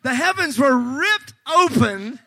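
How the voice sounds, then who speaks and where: A male pastor preaching a sermon, fervent and folksy, joking with the congregation and breaking into shouts. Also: extremely high pitch, lively intonation, slow, no room sound. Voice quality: slightly gravelly.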